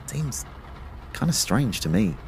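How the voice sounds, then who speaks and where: An actor playing a reflective, concerned young man, telling his story in a measured, understated way in an audio drama. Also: medium pitch, big pitch swings, slight background noise. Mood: sombre, reflective. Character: reflective, concerned young boyfriend, a fitness fanatic. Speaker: narrator and lead character. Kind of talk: first-person audio drama narration and dialogue.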